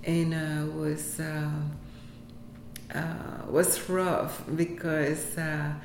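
Low-voiced Puerto Rican woman, halting speech. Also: flat delivery. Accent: Puerto Rican Spanish. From Puerto Rico. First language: Spanish. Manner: halting, many fillers and restarts, laughs often. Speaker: interviewee, health center employee. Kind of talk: casual interview. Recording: echoey room, faint background noise.

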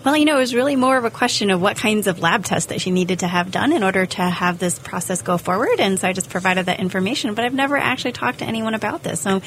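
Measured woman co-hosting radio health talk. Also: medium pitch, animated, fairly bright tone. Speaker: radio show co-host. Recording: faint background noise.

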